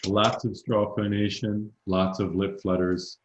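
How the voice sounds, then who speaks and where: A male voice coach speaks in a firm, matter-of-fact way. His voice sits somewhat low, and the recording carries a roomy echo. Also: flat delivery, a little slow, dull audio, a little hiss or hum. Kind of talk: voice lesson conversation.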